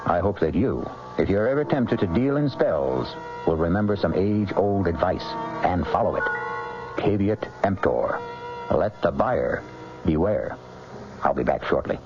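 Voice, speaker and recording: A man hosting a vintage radio mystery show with theatrical, wry and ominous scripted narration. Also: low-pitched. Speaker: radio drama host. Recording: muffled vintage radio recording, music behind.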